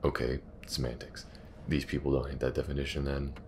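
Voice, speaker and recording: Actor as wary, wry male detective for audio drama: extremely deep, gravelly, blunt. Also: monotone, brisk, a little hiss or hum. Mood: skeptical. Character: gruff, skeptical, watchful male detective. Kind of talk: audio roleplay drama acting.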